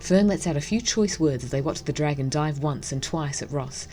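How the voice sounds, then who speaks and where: Low, mellow woman presenting a game. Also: normal speed, faint music behind. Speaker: gaming video host. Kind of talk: casual game commentary and read-aloud narration.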